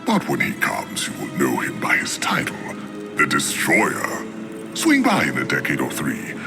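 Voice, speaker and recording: An actor playing a flamboyant, scheming demon in a fantasy video game, oily and theatrical, with courtly, mocking, grandiose phrasing. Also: male voice, rumbling low, wildly swooping pitch, a little slow, creaky, music in the background, heavily acted. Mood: silky, smug.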